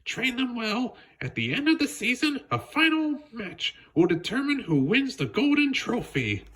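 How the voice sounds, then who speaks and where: A man's voice: extremely high pitch, big pitch swings, a little slow, bright, forceful tone, husky, voice pushed up, exaggerated voice. A man voicing a formal, encouraging chairwoman of a sports club in a read-aloud storybook. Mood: formal, announcing.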